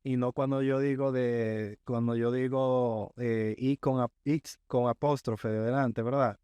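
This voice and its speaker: A man who is a guest on a podcast, telling a work story in a measured way and often checking in with his listener. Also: medium pitch, fairly even intonation, dark tone, smooth, no echo. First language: Spanish. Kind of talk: casual interview chat.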